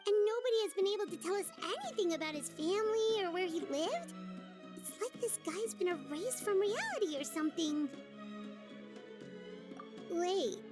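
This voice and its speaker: A tiny fairy girl for a video game. The voice is extremely high and squeaky, bubbly and earnest, swinging brightly up and down. Music plays clearly underneath. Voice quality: squeaky, childlike. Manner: talks of herself in third person, chirpy. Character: Paimon, small childlike girl fairy companion, bubbly and earnest. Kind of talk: video game character acting.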